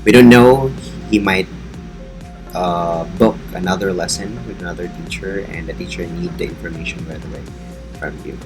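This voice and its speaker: A man's voice: deep voice, moderate pace, music in the background. A man with a Filipino accent, an online English teacher talking earnestly and encouragingly about his lessons. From Philippines. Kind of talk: casual teaching vlog.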